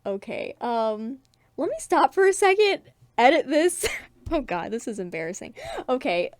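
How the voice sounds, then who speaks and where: Giggly, animated high-voiced woman. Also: normal speed, no room sound. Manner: giggly, self-deprecating, theatrical. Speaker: webcomic creator narrating own comic. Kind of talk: casual dramatic reading with asides.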